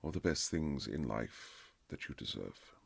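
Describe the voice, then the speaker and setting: A man playing a reserved aristocratic husband in an intimate romantic period audio drama, speaking formally and haltingly with earnest tenderness in a gravelly, husky voice. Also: extremely low pitch, lively intonation, exaggerated voice. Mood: hesitant, resigned. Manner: formal, old-fashioned, halting, earnest phrasing.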